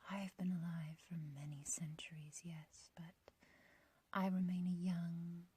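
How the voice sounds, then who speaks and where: Woman as a slow, breathy, whispering lovesick witch. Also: on the low side, level tone, dark tone, dry recording. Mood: adoring, possessive. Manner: slow, intimate, archaic theatrical phrasing. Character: ancient, youthful witch, obsessively loving and possessive. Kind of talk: whispered ASMR roleplay.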